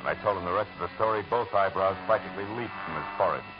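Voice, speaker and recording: An actor playing a dry, wisecracking private detective who also narrates, in a 1940s radio drama. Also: male voice, low-pitched, racing, muffled recording, over music, natural voice. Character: hard-boiled male private eye, dry and wisecracking. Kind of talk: 1940s radio acting with first-person narration. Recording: hissy old radio broadcast recording.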